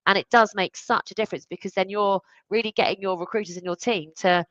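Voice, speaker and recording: Female webinar panelist, mid-pitched, informal and emphatic, dry and slightly dull sound. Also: fairly even intonation, quick pace, a bit bright, dry recording. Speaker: industry expert panelist. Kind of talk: conversational business webinar discussion. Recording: dull, band-limited online call audio.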